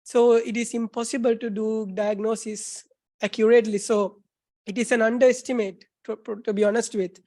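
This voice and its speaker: A woman's voice: fairly high pitch, little pitch movement, moderate pace, mellow. A woman from Sri Lanka, a doctor on a panel earnestly explaining answers to audience questions. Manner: earnest, explanatory, lists points.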